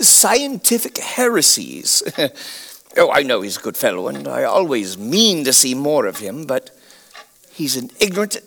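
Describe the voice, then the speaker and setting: A man acts a proud, troubled Victorian gentleman doctor in an audio drama. His fairly high voice swings widely in pitch, with a genteel, theatrical, halting delivery. Faint noise sits behind it. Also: heavily acted.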